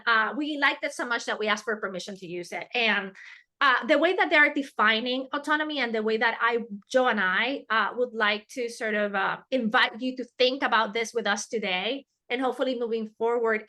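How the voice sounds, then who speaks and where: Bright-voiced woman with a Spanish accent. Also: fairly high pitch. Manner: earnest, repeats key words for emphasis. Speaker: webinar presenter. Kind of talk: teaching a professional webinar. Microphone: video call.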